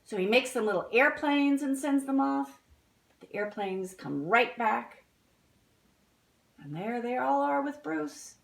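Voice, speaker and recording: A woman's voice: sits high, animated, slow pace, creaky. A woman reading a picture book aloud to young children, adding chatty explanations and questions as she goes. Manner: addresses young listeners directly with simple explanations and questions. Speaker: children's story-time reader.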